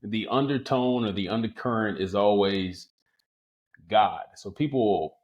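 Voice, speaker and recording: A man's voice: on the low side, a little slow, a little mellow, highs cut off. A man with an American accent hosting a conversational podcast interview. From small town in the United States, outside Los Angeles. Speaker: podcast host interviewing a guest.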